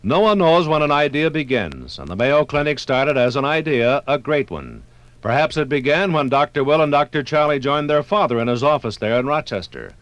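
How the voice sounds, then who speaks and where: A man's voice: fairly high pitch, sing-song, normal speed, a bit bright, no echo, muffled recording. An American man narrating a 1950s radio documentary from a script in a dramatic storytelling manner.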